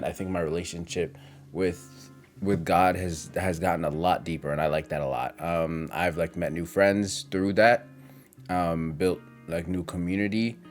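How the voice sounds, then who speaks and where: A low-pitched male voice in his twenties speaks in a casual, confessional vlog style. He rambles and hesitates, thinking things over reflectively as he goes. Faint guitar music plays behind him. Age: 20s. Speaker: content creator.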